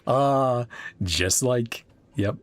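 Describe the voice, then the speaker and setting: A man's voice: mid-range voice, extremely slow. A man giving casual reaction commentary on a game story, in trailing, fragmented remarks. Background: game music.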